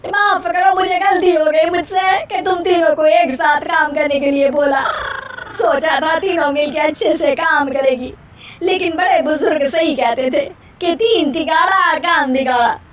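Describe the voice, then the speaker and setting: Woman doing bossy old mother in law for a moral story, high pitched, scolding and wailing, bit far from mic. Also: measured pace, roomy sound. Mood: exasperated. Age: older. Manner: loud, complaining, melodramatic.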